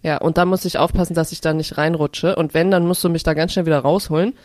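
A woman's voice: low voice, steady tone, quick pace, darkish tone, no echo, studio quality. A woman hosting a casual podcast chat and interviewing a guest in an informal way.

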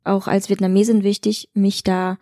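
Mellow, candid woman in conversation. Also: mid-range voice, flat delivery, moderate pace, dry recording, clean studio sound. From Chemnitz, Germany. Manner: candid, colloquial. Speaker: actress, interview guest. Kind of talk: casual interview talk.

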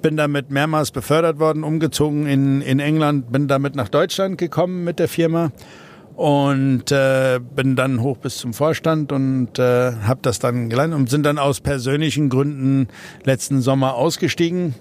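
A man's voice: medium pitch, fairly even intonation, normal speed, a little mellow, slight background noise. A man from South Africa, an entrepreneur guest in an interview, talking casually with many fillers and restarts.

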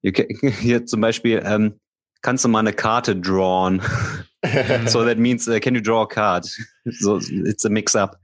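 A man's voice: on the low side, fairly even intonation, a little slow, dry recording. A man with a German accent co-hosting a podcast, chatting loosely and laughing often as he mixes in German words. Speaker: podcast co-host. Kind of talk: casual bilingual chat.

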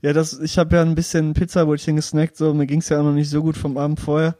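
A man's voice: on the high side, completely flat delivery, fast talker, dark tone, dry recording, clean studio sound. A young man in casual podcast banter, teasing and laughing. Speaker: podcast co-host. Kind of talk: casual chat.

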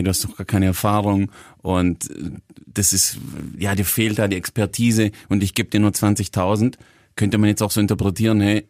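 A man with a fairly low voice in a dry, close recording. He co-hosts an interview, casual and reflective as he picks up on the guest's points. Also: a little mellow, no echo. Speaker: podcast co-host. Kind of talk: conversational interviewing.

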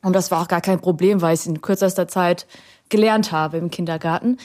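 A woman in her thirties who grew up in Germany, answering an interview question in a reflective way, pausing often with fillers and restarting her sentences. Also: fairly low pitch, darkish tone. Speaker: guest.

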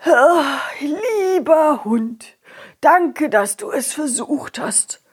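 A woman voicing a small, sad old pebble with breathy sighs and slow, plaintive, resigned speech. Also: high voice, animated, pitched above the performer's own voice, exaggerated voice. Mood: sad, grateful. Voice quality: breathy, sighing.

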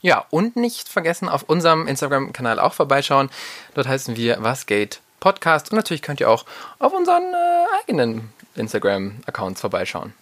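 Chatty male co-host, very lively intonation. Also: medium pitch, fairly quick. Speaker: podcast co-host. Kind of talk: casual podcast chat.

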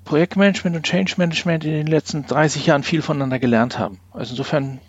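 A man's voice: on the high side, fairly even intonation, very fast, husky. A middle-aged man, talking in a discursive, wry way as a guest in a podcast interview. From northern Germany, near Hamburg. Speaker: podcast guest, organisational development consultant. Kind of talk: reflective conversational interview. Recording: dull, roomy lo-fi sound.

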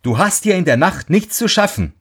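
A man's voice: high voice, sing-song, normal speed, a bit bright, slightly rough, pitched above the performer's own voice, exaggerated voice. A man voicing a naive, fearless young lad in a storybook fairy tale. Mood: earnest.

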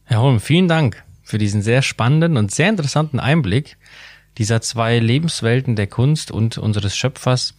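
Male interviewer, courteous and formal, medium pitch, dry studio-like podcast sound. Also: lively intonation, normal speed, dry recording. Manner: polite, formal address. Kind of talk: formal, prepared interview questions.

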